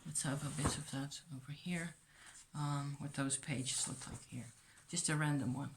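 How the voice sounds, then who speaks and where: Hesitant, rambling woman, lowish voice. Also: steady tone, normal speed, noisy background. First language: Dutch. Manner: rambling, hesitant, many fillers and restarts. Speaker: vlogger. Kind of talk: casual rambling chat to camera.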